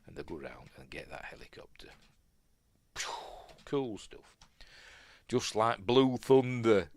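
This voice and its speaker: A man with a Yorkshire accent hosting a casual film commentary, rambling and musing aloud, often second-guessing himself and trailing off quietly. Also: on the low side, very animated, slow pace, dry recording. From Yorkshire, England. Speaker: film review host.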